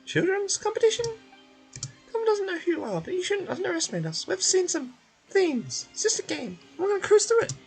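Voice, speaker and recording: A man's voice: very high voice, huge pitch swings, brisk, faint music behind, pitched above the performer's own voice, heavily acted, rough recording. A man voicing a small, cheeky, childish game companion who gets indignant easily and refers to itself by name. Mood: boastful. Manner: childish, talks of self in third person.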